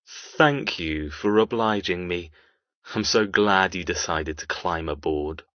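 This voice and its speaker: Casting: male actor for a menacing, controlling audiobook villain, formal and quietly threatening, lowish pitch, dull close-dry recording. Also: slow, dry recording, heavily acted. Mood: mocking, commanding. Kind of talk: audiobook character dialogue.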